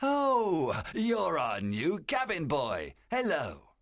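A man voicing a jovial, sly one-legged pirate ship's cook in a storybook reading, with hearty, drawn-out sailor talk. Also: on the high side, huge pitch swings, fairly bright tone, no echo, studio quality, pitched above the performer's own voice, full character voice. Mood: hearty, friendly.

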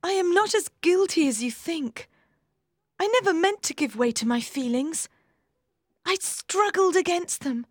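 A woman's voice: very high voice, animated, measured pace, no echo, clean studio sound. A woman playing a pleading, remorseful young woman. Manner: pleading, earnest. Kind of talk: audiobook drama reading.

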